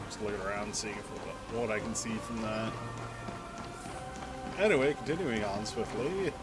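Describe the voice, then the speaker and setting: A man's voice: on the low side, normal speed. A man doing casual, rambling video game commentary, half muttering to himself as he plays. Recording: game music behind the voice. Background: video game music.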